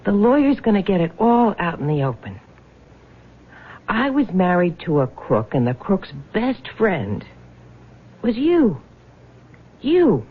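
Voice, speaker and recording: An actress playing a bitter, demanding middle-aged widow in a 1970s radio drama, combative and scornful. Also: female voice, on the low side, breathy edge, narrow, tinny audio, heavily acted. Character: bitter, demanding, sharp-tongued widow Millie. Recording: thin, band-limited vintage radio recording.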